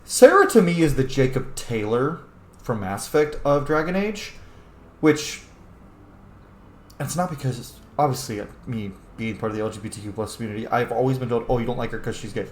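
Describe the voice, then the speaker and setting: A man with a medium-pitched voice gives casual commentary as he plays a game. He is informal and opinionated and swears freely. The room adds noticeable echo to his voice. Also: animated, moderate pace, slight background noise. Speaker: gaming video host, player.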